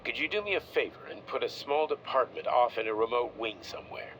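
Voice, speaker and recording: An actor playing a discreet Soviet official in a video game, asking favours in a casual, understated way. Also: male voice, mid-range voice, expressive, brisk, fairly bright tone, a little hiss or hum, close to an everyday voice, poor audio. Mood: confiding. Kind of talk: video game recorded-message acting.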